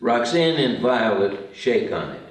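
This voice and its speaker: A man's voice: medium pitch, big pitch swings, unhurried, slight background noise. A man reading out stage directions in scripted narration at a table read. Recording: very echoey room, distant mic.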